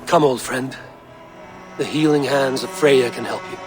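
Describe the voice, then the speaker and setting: An actor playing a proud, resolute warrior god in a video game, speaking in a grave, declamatory way. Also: male voice, medium pitch, steady tone, measured pace, over music, lightly acted.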